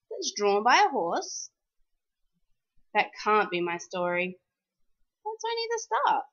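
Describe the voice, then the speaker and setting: Female storyteller for picture-book verse: high voice, very lively sing-song delivery, dull lo-fi sound. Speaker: storyteller reading a children's picture book aloud. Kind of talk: expressive children's storybook read-aloud.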